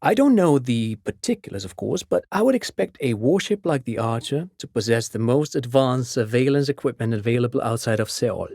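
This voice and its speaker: An actor playing a chatty, courteous amateur academic in a video game, explaining things precisely like an eager enthusiast. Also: male voice, medium pitch, lively intonation, a little fast, vocal fry, few pauses, darkish tone, no echo, clean studio sound. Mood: fascinated, explanatory. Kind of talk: game character dialogue.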